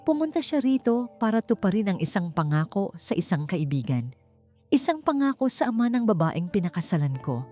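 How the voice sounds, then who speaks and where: A woman's voice: mid-range voice, very animated, a little fast, dark tone, soft background music, pitched above the performer's own voice, lightly acted. A woman voicing a dignified young architect and devoted grandson in a story, solemn and earnest, with a Filipino accent. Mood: firm, calm anger.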